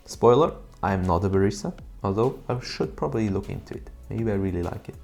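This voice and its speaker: A man's voice: lowish voice, gravelly, darkish tone. A man in his thirties from Switzerland narrating his own vlog in an earnest, matter-of-fact way with dry asides. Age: 30s. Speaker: YouTuber. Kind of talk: scripted personal vlog narration.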